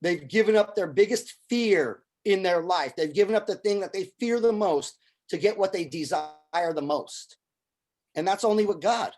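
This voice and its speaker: A man's voice: sits high, moderate pace, darkish tone. A middle-aged man from Syria, a film producer and army veteran, talking earnestly in a casual conversation and often repeating words as he starts a thought.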